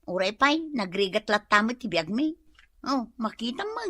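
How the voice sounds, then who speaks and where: A woman's voice: a little high, sing-song, normal speed, a bit bright, no echo. A woman playing a troubled wife who complains and defends herself in a radio comedy drama. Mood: defensive. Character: troubled wife ashamed after a fight.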